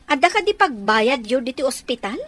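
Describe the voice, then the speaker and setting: An actress with an Ilocano accent playing a helpful, chatty older sister in a radio comedy drama. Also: female voice, sits high, big pitch swings, moderate pace, fairly bright tone, exaggerated voice.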